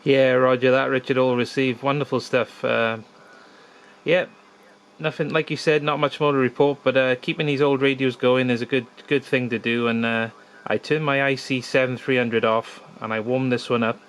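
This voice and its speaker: A man's voice: medium pitch, steady tone, normal speed, clean tone. A man chatting in an easygoing way during a casual amateur radio contact.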